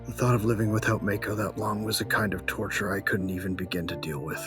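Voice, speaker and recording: A man's voice: fairly low pitch, fairly even intonation, fast talker, over music, natural voice. A man playing a grieving, embittered bounty hunter who narrates his own story in an audio drama, brooding and terse. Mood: heavy, grieving.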